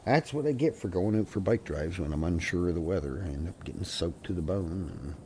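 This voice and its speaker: A man's voice: low-pitched, animated, brisk, dark tone, slight background noise. A man streaming a game, muttering to himself with a stuffy nose from a cold. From Canada. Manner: mumbling, talks to himself and the game. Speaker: gaming streamer. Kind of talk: casual solo game commentary.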